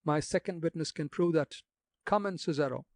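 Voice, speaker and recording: A man voicing a confident gentleman who speaks with emphasis. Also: on the high side, moderate pace, no room sound, clean studio sound, close to an everyday voice. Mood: emphatic. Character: confident young gentleman, triumphant.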